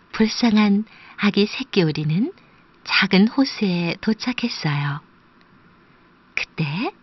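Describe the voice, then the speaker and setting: Woman reading a kids fairy tale, really expressive, slow, kinda breathy husky voice, dry dull recording. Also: medium pitch, no echo. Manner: expressive, animated storytelling. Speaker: children's storyteller. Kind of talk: scripted fairy tale narration for young children.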